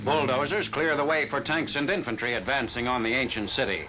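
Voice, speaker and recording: A noisy vintage 1940s soundtrack carries a man's voice. He narrates a military newsreel from a script. His pitch is average and his delivery is formal and factual, in the announcer manner of the period. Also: a little fast.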